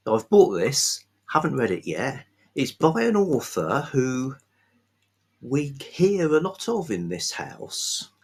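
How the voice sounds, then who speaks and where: A man hosting an informal book review, talking off the cuff in a halting, thoughtful, enthusiastic way. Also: mid-range voice, sing-song, creaky, breathy edge. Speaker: book reviewer, video host. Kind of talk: unscripted book talk to camera.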